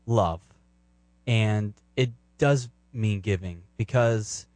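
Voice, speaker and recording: A man's voice: mid-range voice, warm tone, no room sound. A man co-hosting a podcast, giving an earnest, unscripted personal reflection. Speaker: podcast co-host and sound engineer.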